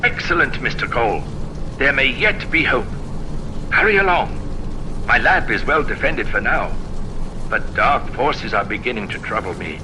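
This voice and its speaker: A man playing a sinister bioweapons doctor in a video game, giving formal, deliberate, urgent instructions. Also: mid-range voice, lively intonation, noisy background, lightly acted. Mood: urgent, commanding. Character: sinister, commanding bioweapons doctor guiding a survivor. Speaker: scientist character.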